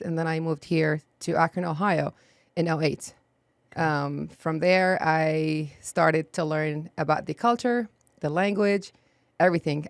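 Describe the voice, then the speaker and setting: Low-voiced woman from Iraq, chatty guest. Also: no echo. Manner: chatty, frequent "you know" and "um". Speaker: guest, sign business owner. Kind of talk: casual interview. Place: podcast studio.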